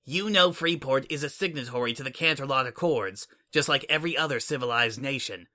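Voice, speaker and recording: A man's voice: mid-range voice, fairly quick, bright, forceful tone, lightly acted. A man voicing a brash, smug female pony spy in a fan audiobook reading, speaking in a flippant, mocking way.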